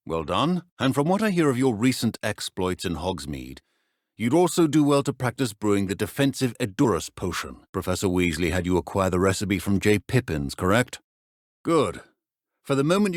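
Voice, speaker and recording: An actor playing a stern, dry-witted middle-aged British potions professor in a video game, speaking in a measured, exacting, deadpan manner. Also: male voice, mid-range voice, dry recording, close to an everyday voice. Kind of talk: scripted game dialogue.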